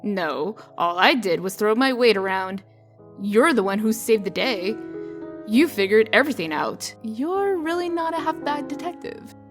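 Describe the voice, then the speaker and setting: A woman voicing a brisk, shrewd female official in a comic dub, firm, dry and sardonic in manner. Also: on the high side, lively intonation, soft background music, lightly acted. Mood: wry, probing.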